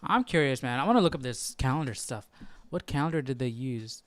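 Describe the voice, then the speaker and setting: A man hosting a Bible study livestream, casually thinking aloud and reading articles out loud in an inquisitive, informal way. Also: medium pitch, lively intonation, brisk, faint music behind. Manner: inquisitive, informal, talks to himself.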